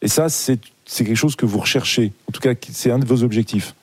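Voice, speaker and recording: A man's voice: mid-range voice, a little fast, breathy edge, no echo. A man hosting a live radio interview, chatty and good-humored, with frequent fillers. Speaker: radio host.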